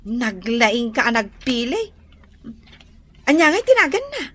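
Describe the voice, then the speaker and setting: A woman's voice: high voice, very animated, takes it slowly, a bit bright, slightly rough, heavily acted. A woman acting a young, teasing, bickering sister in an Ilocano comedy radio drama, with an Ilocano accent. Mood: teasing, playful.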